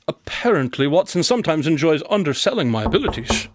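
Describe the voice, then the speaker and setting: A man's voice: a little high, big pitch swings, fast, dry recording, clean studio sound. An actor playing a brilliant, aloof detective in a radio drama, matter-of-fact and dryly dismissive as he lays out deductions. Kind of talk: scripted radio drama acting.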